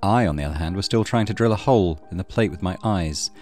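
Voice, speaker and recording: A man's voice: sits low, fast talker, darkish tone, soft background music. A man hosting a let's play, reading story narration aloud with casual commentary.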